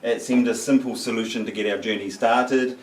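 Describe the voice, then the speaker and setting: A man's voice: medium pitch, fairly even intonation, brisk, a little mellow. A man with a New Zealand accent chatting upbeat and jokey in a casual travel vlog. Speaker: travel vlogger. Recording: noisy background with passing traffic.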